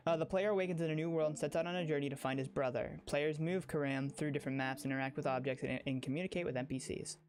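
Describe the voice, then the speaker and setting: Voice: medium pitch, fast, hardly any pauses. A livestreamer chatting casually and emphatically, rambling, often repeating phrases and restarting sentences.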